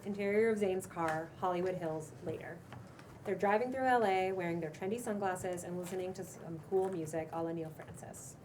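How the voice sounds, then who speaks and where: A woman with a slightly low voice narrates stage directions from a script. She sounds far from the microphone, with noticeable noise in the recording. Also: moderate pace. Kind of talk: scripted narration at a table read. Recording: distant mic, loud room noise.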